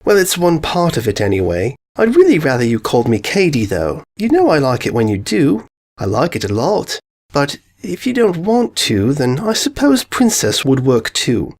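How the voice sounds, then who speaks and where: A man's voice: on the high side, sing-song, normal speed, darkish tone. A man doing a playful pony princess. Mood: teasing. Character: playful adult female pony princess, wife.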